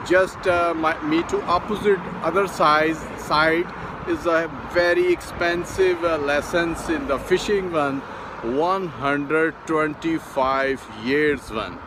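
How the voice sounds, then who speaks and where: A middle-aged man whose first language is Urdu, narrating a casual outdoor vlog from a city bridge in halting, broken English with many fillers. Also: a little high. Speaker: vlogger. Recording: outdoor phone recording with street noise. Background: traffic and city street noise.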